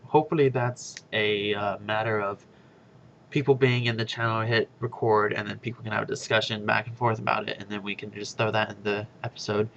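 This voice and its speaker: Monotonous man on a lo-fi mic. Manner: rambling with many fillers. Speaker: guild podcast host. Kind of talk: casual announcement. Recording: dull lo-fi with faint rumble.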